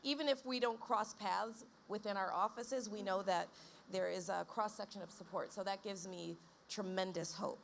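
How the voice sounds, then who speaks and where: A woman's voice: medium pitch, fairly even intonation, moderate pace, creaky, a little hiss or hum. Thoughtful woman panelist. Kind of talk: panel discussion.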